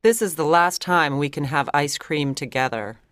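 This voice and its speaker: Woman as a low-voiced gentle schoolgirl. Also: animated, measured pace, no echo, studio quality. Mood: sad. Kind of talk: audiobook character dialogue.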